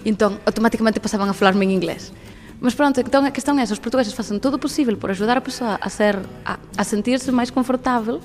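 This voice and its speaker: Lively Galician woman in her twenties. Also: fairly high pitch, fast, soft background music. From Galicia, Spain. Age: 20s. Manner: lively, laughs often, mixes Portuguese and Galician. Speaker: guest. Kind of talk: radio testimony.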